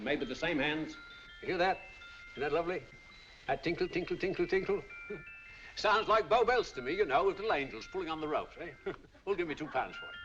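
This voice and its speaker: An actor playing a chatty, coaxing auctioneer in an old film. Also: male voice, a little high, a bit bright. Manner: chatty salesman patter, coaxing and chuckling. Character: jovial fast-talking London auctioneer, male, middle-aged. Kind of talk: 1940s film dialogue. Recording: dull old film soundtrack with music.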